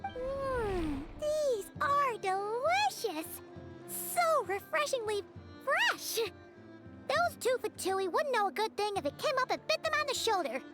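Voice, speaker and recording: A woman voicing a tiny, chirpy fairy companion in a video game, childlike and squeaky, perky and chatty. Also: big pitch swings, takes it slowly, loud music behind the voice, heavily acted. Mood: delighted. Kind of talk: video game character acting.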